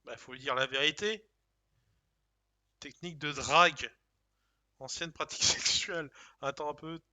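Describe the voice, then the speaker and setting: A man's voice: fairly high pitch, normal speed, pushed and bright. A man streaming a game, reading options aloud and joking in casual commentary.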